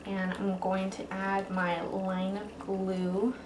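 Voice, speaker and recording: A woman's voice: mid-range voice, completely flat delivery, takes it slowly. A woman hosting a craft tutorial, talking viewers informally through each step. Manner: informal, addresses viewers as guys. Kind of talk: casual step-by-step how-to instruction. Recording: echoey room with faint background noise.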